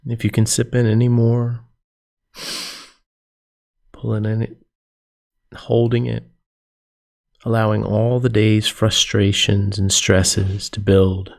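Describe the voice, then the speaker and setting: A man leading a guided meditation as a yoga nidra teacher, giving gentle, soothing, unhurried instructions with pauses between phrases. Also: lowish voice, flat delivery, vocal fry.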